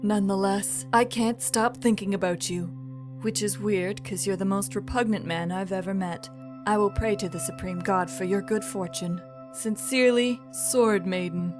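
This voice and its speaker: A woman voicing a prim, lovesick priestess reading out her own comic letter in a measured, formal way, with blunt jabs. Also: mid-range voice, steady tone, over music, lightly acted. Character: formal, lovesick priestess writing a letter, turning foul-mouthed.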